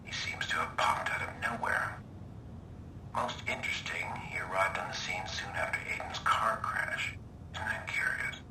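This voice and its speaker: Scheming veteran hacker for a game, male actor, low breathy voice, bitter and deliberate, echoey audio-log sound. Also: in a whisper, lightly acted. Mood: intrigued, sly. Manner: deliberate, brooding, disdainful.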